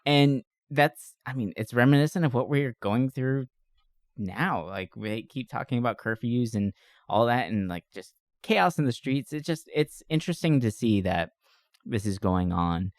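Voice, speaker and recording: A man's voice: medium pitch, moderate pace, no echo, clean studio sound. A man co-hosting a podcast, chatting informally and enthusiastically in a casual, slangy review style. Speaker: podcast co-host. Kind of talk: casual movie review chat.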